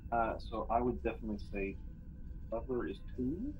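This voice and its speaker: A man playing a tabletop game, chatting casually and thinking aloud in a halting way. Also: mid-range voice, lively intonation, faint music behind. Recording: tinny phone-like line, background noise.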